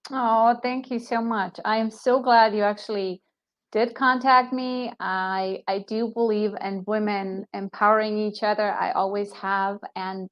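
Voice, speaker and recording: A woman's voice: mid-range voice, fairly even intonation, measured pace. A woman from Bosnia speaking earnestly and conversationally as an interview guest on an online call. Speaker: guest, life coach. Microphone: remote online call. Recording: dull, lo-fi call audio.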